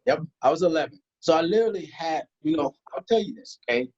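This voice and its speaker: A man's voice: a little high, animated, fast, a little mellow, husky, highs cut off. A man from South Sudan, a guest in an interview, talking emphatically and asking rhetorical questions. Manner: emphatic, rhetorical questions, frequent "you know" and "right?". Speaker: guest, tax strategist. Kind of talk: conversational interview.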